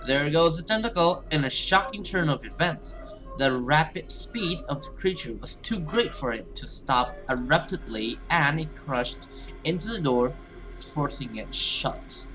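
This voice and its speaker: A man's voice: a little high, expressive, unhurried, sharp tone, soft background music, rough recording. A man hosting a gaming video, reading story text aloud dramatically and reacting with casual asides.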